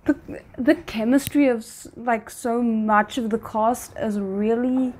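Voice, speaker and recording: A woman giving casual, off-the-cuff commentary on a show, exclaiming and often pausing mid-thought. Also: fairly high pitch, steady tone, darkish tone, a little hiss or hum, low-quality recording. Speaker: reaction video host.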